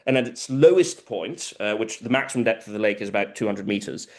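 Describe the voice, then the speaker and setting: A man's voice: fairly low pitch, fast talker, a little mellow. A man from South America, a native Spanish speaker, answering audience questions at a seminar in an informal, detailed way. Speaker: guest lecturer.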